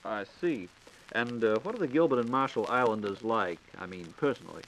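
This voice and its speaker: A man's voice: mid-range voice, animated, a little fast, fairly bright tone, a little hiss or hum, low-quality recording. An American man hosting a scripted 1940s radio program, with a conversational, folksy way of asking and announcing. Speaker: radio show host. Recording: 1940s radio broadcast recording.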